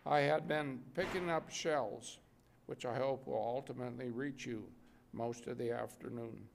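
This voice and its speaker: A man's voice: mid-range voice, measured pace, gravelly, a little hiss or hum. An older man reading wartime letters aloud at a podium microphone in an indoor gallery. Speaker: readers theater reader.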